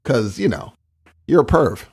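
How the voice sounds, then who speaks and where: A man's voice: medium pitch, sing-song, unhurried, darkish tone, breathy edge. A man co-hosting a podcast in casual, rambling, joking chat. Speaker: podcast co-host.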